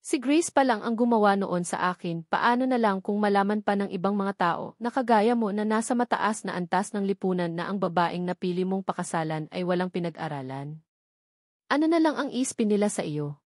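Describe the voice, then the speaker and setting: A woman's voice: mid-range voice, fairly quick, darkish tone, dry recording, clean studio sound, close to an everyday voice. A woman voicing an anxious, apologetic young woman in love, with a Filipino accent, in a romance audiobook. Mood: anguished.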